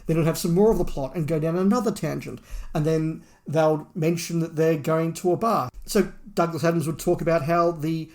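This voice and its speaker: A man's voice: on the high side, fairly even intonation, normal speed, a little mellow. A man hosting a casual review discussion, talking emphatically and repeating words and phrases to drive his points home. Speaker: podcast host reviewing a book.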